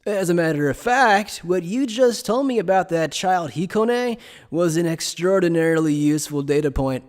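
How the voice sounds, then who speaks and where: A man playing an evasive, playful scholar who explains things in a polite, measured, teasing way in an anime fan dub. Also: high-pitched, animated. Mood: measured, probing. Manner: polite, measured, teasing lecturer with coy understatement.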